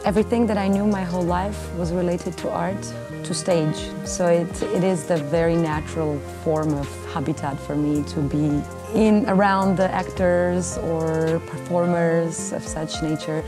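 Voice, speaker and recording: A woman with a fairly low voice and an Armenian accent speaks in an interview style. She is in her thirties, and music plays clearly behind her. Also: fairly even intonation, unhurried. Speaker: cellist and vocalist. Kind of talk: interview testimonial. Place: indoor backstage area, seated.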